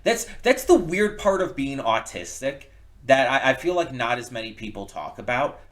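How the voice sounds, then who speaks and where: A man giving casual, unscripted reaction commentary, thinking aloud in a reflective, halting way and often repeating words. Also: mid-range voice, big pitch swings. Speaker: reaction video host. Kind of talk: casual unscripted commentary, post-viewing thoughts.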